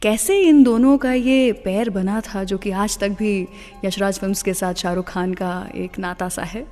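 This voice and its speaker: An Indian woman hosts a radio show with a mid-pitched voice, telling stories conversationally and slipping between Hindi and English phrases. Her voice carries a long reverb, and music plays underneath. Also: measured pace, warm tone. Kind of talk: scripted radio presenting with film trivia between songs.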